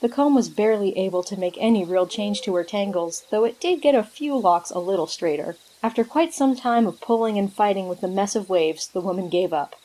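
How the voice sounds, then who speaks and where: A woman narrates a scripted story in a mellow, dark-toned voice. Her pitch sits in the middle range for a woman, as the narrator of an audio drama. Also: brisk, few pauses, rough recording.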